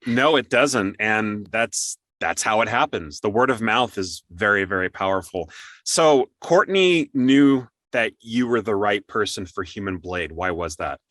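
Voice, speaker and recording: Guy hosting a casual interview, bright punchy voice, dry recording, upbeat and supportive. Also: lowish voice, animated, measured pace, dry recording. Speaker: interview host.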